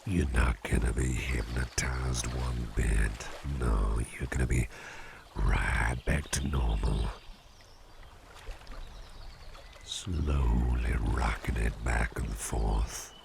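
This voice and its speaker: A man playing a calm, playful businessman boss in a slow, intimate ASMR roleplay, speaking softly and soothingly, often close to a whisper, with a gravelly voice. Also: background noise, exaggerated voice. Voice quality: very deep, gravelly. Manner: soft, soothing, unhurried, often dropping near a whisper. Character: calm, playful businessman boss hypnotizing his employee with a pendant.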